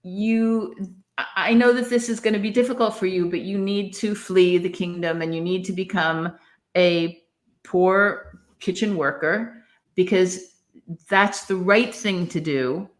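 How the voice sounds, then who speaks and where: A woman's voice: on the low side, measured pace. Emphatic middle-aged woman, casual literary talk. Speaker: podcast co-host.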